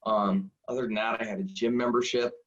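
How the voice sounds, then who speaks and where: A man in his forties, a high school football coach, telling stories in a rambling, self-deprecating way full of fillers. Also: level tone, brisk, a bit bright. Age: 40s. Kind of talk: casual storytelling interview. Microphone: video call. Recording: dull lo-fi video call audio.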